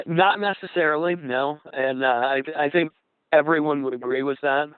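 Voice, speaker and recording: A man speaking as a panel guest in a measured conversational way, carefully qualifying his points, heard through a narrow radio transmission. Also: fairly high pitch, smooth, no echo.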